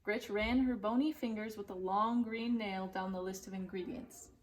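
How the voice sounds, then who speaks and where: A woman narrating a children's storybook in an expressive, deliberate and dramatic way. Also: medium pitch. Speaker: storybook reader.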